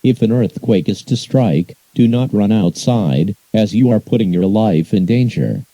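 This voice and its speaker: A man's voice: fairly low pitch, expressive, measured pace, dark tone, no room sound. A man reads a scripted emergency alert announcement in a formal, impersonal manner. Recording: muffled, hissy emergency broadcast audio.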